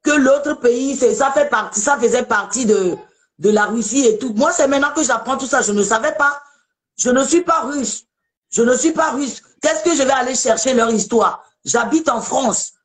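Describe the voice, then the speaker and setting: A woman's voice: sits high, normal speed. A woman from Togo hosting a casual live debate, chatty and emphatic, often repeating herself. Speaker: live show host.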